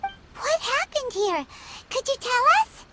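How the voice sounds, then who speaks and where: A woman voicing a small, curious, childlike fairy companion in a video game, with a squeaky voice. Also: sing-song, takes it slowly, fairly bright tone, heavily acted.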